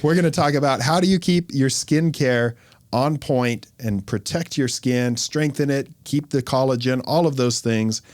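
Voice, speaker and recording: A man hosting a podcast as a dermatologist, explaining things in a relaxed, conversational way. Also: medium pitch, normal speed, no echo. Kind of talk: casual podcast chat.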